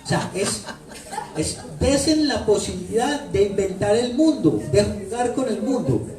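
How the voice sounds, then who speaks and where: A man's voice: high-pitched, lively intonation, measured pace, a little mellow. A man with a Colombian paisa accent, an author speaking playfully to an audience at a book launch, repeating phrases for effect as he tells stories. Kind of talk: animated talk to an audience. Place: bookstore event room. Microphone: handheld microphone. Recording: echoey room from far off.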